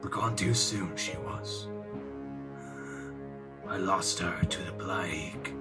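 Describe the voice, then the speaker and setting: An actor playing a grieving, sentimental old pirate captain in an audio drama, often hushed and breathy. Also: male voice, deep voice, slow pace, over loud music. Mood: mournful, remembering. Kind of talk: theatrical audio drama dialogue.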